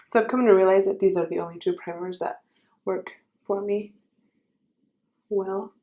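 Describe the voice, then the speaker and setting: Young female beauty vlogger, raspy, warm low-brightness tone, casual chatty tutorial delivery. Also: steady tone, normal speed. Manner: chatty, self-correcting, friendly advice.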